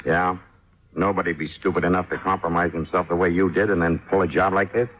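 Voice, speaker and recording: An actor playing a weary, gruff state police captain in a radio drama, terse and businesslike. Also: male voice, sits low, flat delivery, brisk, natural voice. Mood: stern, dismissive. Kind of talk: 1950s radio drama acting. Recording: dull, faintly noisy old radio recording.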